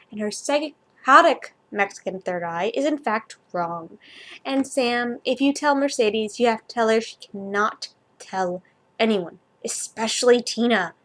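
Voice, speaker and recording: A fussy young groom-to-be with a high voice, read aloud by a woman in a fan fiction story. He is stern and dramatic, bossing people around in exasperation. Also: fairly bright tone. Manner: bossy, exasperated.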